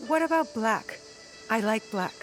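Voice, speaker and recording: A determined young woman in disguise in a game cutscene. Her voice sits a little high, and she is composed, direct and probing, with clear music behind her. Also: lively intonation, a little slow. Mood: polite. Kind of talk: video game cutscene acting.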